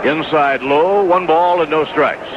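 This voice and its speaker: A man's voice: fairly high pitch, a little slow. A man doing live play-by-play baseball commentary on the radio. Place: stadium broadcast booth. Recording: noisy, dull 1980s AM radio broadcast recording.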